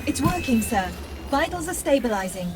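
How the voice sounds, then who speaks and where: A woman's voice: high-pitched, sing-song, moderate pace, loud music behind the voice. A woman voicing a loyal, composed AI assistant in a video game, polite and precise, frankly protective of the one she serves. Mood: alarmed, protective. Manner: polite, precise, addresses boss as sir.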